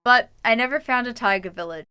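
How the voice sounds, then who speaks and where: A woman's voice: fairly high pitch, a little fast, pushed and bright, smooth. A young adult Canadian woman streaming a game, chatting casually and reading her journal entries aloud. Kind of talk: casual livestream chat while gaming.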